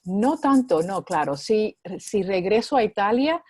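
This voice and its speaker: A woman's voice: medium pitch, very animated, a little slow, a little mellow, a little hiss or hum. A middle-aged woman with a Cuban accent, a guest in an interview, talking in a chatty way with frequent fillers. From Cuba. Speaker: guest in ministry. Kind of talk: conversational interview.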